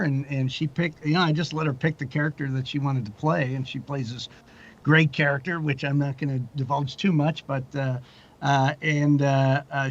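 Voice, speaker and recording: Higher-pitched middle-aged man, muffled recording. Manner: tells stories by acting out quoted dialogue. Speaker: podcast guest, audio drama producer. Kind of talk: casual anecdotal interview chat. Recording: dull, lo-fi call audio.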